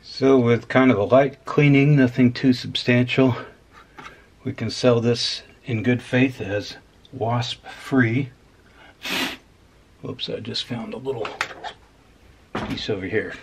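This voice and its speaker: A man casually talking through a hands-on repair as host, unhurried and dryly humorous. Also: mid-range voice, fairly even intonation. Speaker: host of an electronics repair video. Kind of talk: casual hands-on demonstration commentary. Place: indoor workbench. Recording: clear background noise.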